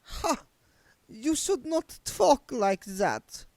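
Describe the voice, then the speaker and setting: A man's voice: very high-pitched, sing-song, very slow, darkish tone, no room sound, voice pushed up, exaggerated voice. A man voicing a haughty older woman, an affected, stiff vampire countess in a book read aloud.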